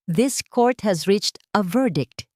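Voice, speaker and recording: A woman's voice: mid-range voice, lively intonation, slow pace, darkish tone, no room sound, clean studio sound, voice pushed up. A woman voicing a stern, impartial judge who formally pronounces rulings in an audio story.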